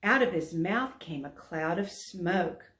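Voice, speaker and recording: A woman volunteer narrating a children's story aloud in an expressive, emphatic storytelling manner. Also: on the low side, takes it slowly. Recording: roomy echo.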